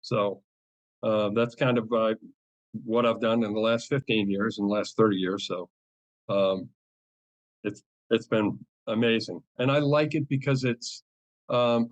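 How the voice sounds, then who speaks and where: A middle-aged man with a Boston accent and a fairly low voice, recorded dry and close with almost no room sound. He talks as an eager podcast guest, rambling along with frequent ums and restarts. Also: no echo, rough recording. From New England. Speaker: guest, cyber insurance advisor. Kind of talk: casual podcast interview.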